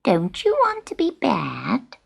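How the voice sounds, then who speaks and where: A woman's voice: mid-range voice, creaky, breathy edge, exaggerated voice. Woman as a bold, swooping witch's kitten. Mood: boastful, relishing. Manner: drawn-out, dramatic, excitable. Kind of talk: children's storybook character voice.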